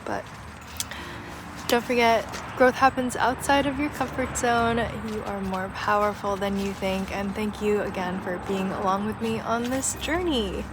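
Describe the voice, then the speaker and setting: A woman's voice: mid-range voice, steady tone, a little slow, background noise. A young woman narrating her day in an upbeat, chatty vlog, talking straight to her viewers. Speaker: vlogger. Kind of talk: casual day-in-the-life narration.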